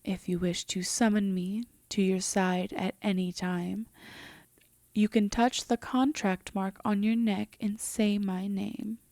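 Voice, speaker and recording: A woman playing a composed, teasing female demon in an intimate roleplay audio drama, often slipping into a breathy half-whisper. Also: mid-range voice, fairly even intonation, slow pace, a little mellow, no room sound, clean studio sound. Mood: smug, teasing.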